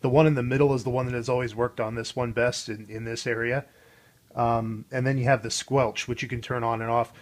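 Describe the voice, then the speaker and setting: A man's voice: mid-range voice, flat delivery, a little fast. A man hosting a casual show-and-tell, explaining things in an informal, conversational way. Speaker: host of a vintage radio showcase.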